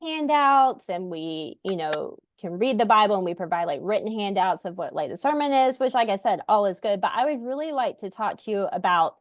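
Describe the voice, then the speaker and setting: A woman hosts a casual podcast conversation, earnest and rambling, leaning on little fillers as she thinks aloud. Her voice sits at an ordinary middle pitch. The audio is a bit dull and lo-fi, and the room sounds dry. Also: lively intonation, a little fast, hardly any pauses, dry recording. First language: English. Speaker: podcast host, parent.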